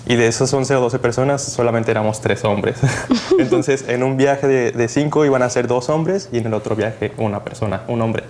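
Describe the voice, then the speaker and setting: A young man from Mexico speaking as a student guest on a podcast, his voice at an ordinary pitch for a man. He tells his story in a relaxed way with many pauses and fillers, over a faint hiss of background noise. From Mexico, Jalisco. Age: young adult.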